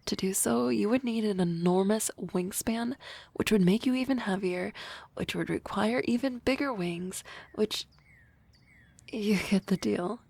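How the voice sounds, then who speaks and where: A woman voicing an energetic young female harpy classmate in an ASMR roleplay, talking softly and often in a whisper with a teasing manner. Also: mid-range voice, unhurried, vocal fry, breathy edge, no echo. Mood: playful.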